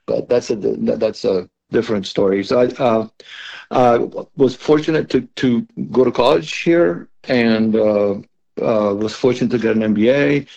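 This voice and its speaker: A man in his sixties from Lebanon, a guest in a conversational radio interview, speaking in a halting way with repeated words. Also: medium pitch, creaky, darkish tone. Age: 60s. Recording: dull lo-fi remote connection.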